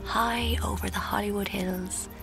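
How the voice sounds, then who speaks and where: An actress playing a dreamy, self-deluded young Irish woman narrating her own story in a breathy, confiding, languid way for radio drama, with music underneath. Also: mid-range voice, fairly even intonation, unhurried. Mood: dreamy, self-satisfied. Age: young adult. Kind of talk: intimate first-person radio drama narration.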